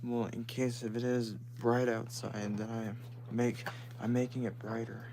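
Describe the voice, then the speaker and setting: A man with a deep voice, chatting casually and haltingly on a live stream from home, with frequent restarts. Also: flat delivery. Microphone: phone. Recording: noisy phone stream with hum.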